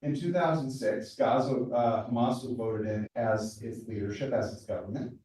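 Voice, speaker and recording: A man's voice: mid-range voice, moderate pace, mellow. A man in the audience making a statement and then asking a question, stumbling and repeating words as he goes. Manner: hesitant, repeats words as he builds his point. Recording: very echoey hall, far from the mic.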